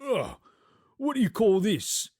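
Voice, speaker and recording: A man's voice: sits high, very animated, takes it slowly, a little mellow, dry recording, studio quality, pitched above the performer's own voice, full character voice. A man voicing a sly old rascal of nearly seventy in a storybook, leering and full of incredulous questions. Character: sly gray-haired old rascal, nearly seventy, dealing in stolen goods. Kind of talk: dramatised storybook reading.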